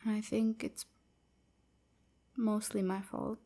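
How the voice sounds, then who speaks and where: A woman playing a timid, submissive vampire slave in an intimate audio roleplay, hesitant and stammering, with a soft, whispery, apologetic delivery. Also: mid-range voice, flat delivery, takes it slowly. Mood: sad, subdued. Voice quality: soft, breathy, often whispery. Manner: hesitant, stammering, hushed and breathy.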